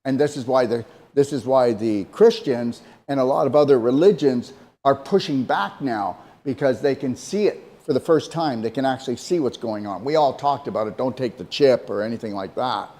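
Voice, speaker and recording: A man's voice: medium pitch, moderate pace, warm tone. A man co-hosting a casual talk show, speaking emphatically and rambling, often repeating himself. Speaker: co-host.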